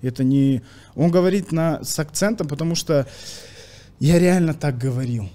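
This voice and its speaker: Young guy from kazakhstan on a podcast mic, bit high voice, loud then quiet, all gestures. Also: normal speed. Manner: animated storytelling with hand gestures, swings between loud bursts and quiet asides. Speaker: comedian guest. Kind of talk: casual podcast chat. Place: podcast studio, indoors. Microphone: studio mic with headphones.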